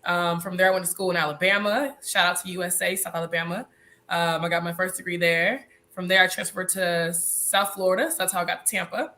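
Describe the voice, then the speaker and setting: A woman's voice: on the low side, fairly even intonation, a little fast, pushed and bright. A woman in her thirties from Mississippi, a nurse, chatting as a guest on a live interview. She is congested and a bit nasal, and she laughs often. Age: 30s. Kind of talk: casual interview.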